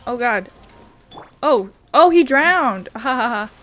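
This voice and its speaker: A woman's voice: on the high side, expressive, slow, fairly bright tone, faint music behind. A woman casually commenting over a video game as she plays, at times reading dialogue aloud. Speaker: gamer narrating a playthrough.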